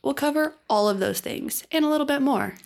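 A woman's voice: sits high, a little fast, creaky, darkish tone. A young woman co-hosting a podcast in casual chat, upbeat as she lays out what the episode will cover. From Oregon. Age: young adult. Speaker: podcast co-host.

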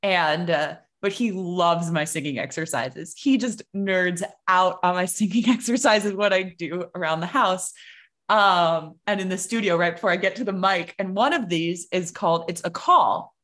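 A young woman talks about singing in a relaxed podcast chat, sliding into sung phrases to show her point. Her voice sits on the low side for a woman. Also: moderate pace. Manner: animated, demonstrates by singing. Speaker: guest, singing coach.

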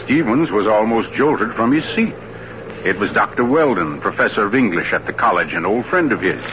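A man narrating a suspense story in grave, deliberate 1940s radio style, building dread. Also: on the low side, animated, background noise, lightly acted. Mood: grave, suspenseful. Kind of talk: dramatic scripted narration, 1940s radio acting. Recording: muffled 1940s radio recording, music behind. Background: dramatic music.

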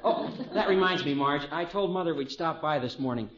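Earnest young husband, high lively voice, polite, old radio comedy. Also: male voice, fairly quick. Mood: cheerful, businesslike. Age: young adult. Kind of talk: 1950s radio comedy acting. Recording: dull 1950s radio recording.

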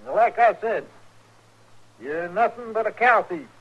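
An actor playing a crusty, blunt old frontier judge in a 1950s radio western, with folksy, cantankerous rural Western American speech. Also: male voice, high voice, animated, measured pace, slightly rough, heavily acted. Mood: blunt, scolding. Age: older. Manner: folksy, plain-spoken, cantankerous. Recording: muffled 1950s radio recording.

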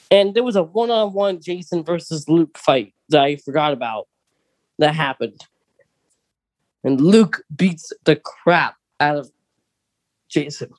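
A podcast co-host with a medium-pitched voice talks in a casual, conversational way. The sound is dry, with hardly any room to it. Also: no echo. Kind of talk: casual chat about films and books.

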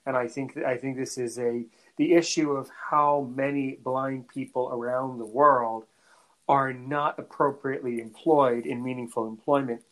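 A man from North America hosting a podcast interview, speaking in a halting way and often repeating words before finishing a thought. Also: medium pitch, fairly even intonation, fairly bright tone, low-quality recording. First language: English; French is his second language. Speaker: podcast host. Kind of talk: conversational interview.

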